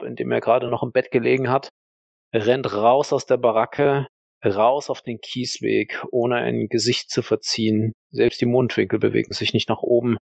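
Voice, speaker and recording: A man's voice: medium pitch, vocal fry, a little mellow, no room sound. A man improvising third-person story narration as a player in a role-play audio drama.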